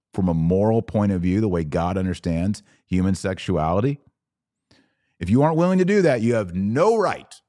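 A man's voice: low voice, warm tone, no room sound, clean studio sound. A man hosting a podcast of religious commentary, speaking in an emphatic, preachy manner with rhetorical questions. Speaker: podcast host. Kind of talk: live religious commentary rant, reading audience comments.

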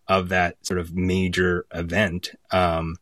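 A man's voice: sits low, completely flat delivery, takes it slowly, fairly bright tone, no room sound, clean studio sound. A man giving a conversational interview as a guest, thoughtful and searching for his words. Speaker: podcast guest from a theatre company.

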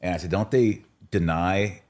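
Deep-voiced man chatting on a podcast. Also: moderate pace, a little mellow. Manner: informal, recounts dialogue in quotes. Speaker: podcast host. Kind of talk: casual podcast conversation, storytelling.

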